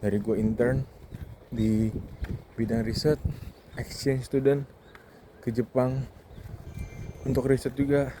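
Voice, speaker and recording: A man in his twenties with an Indonesian accent gives a candid, casual, reflective monologue as a vlogger, often pausing and slipping in English phrases, while walking outdoors. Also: mid-range voice, little pitch movement. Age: 20s. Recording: outdoor wind and ambient noise.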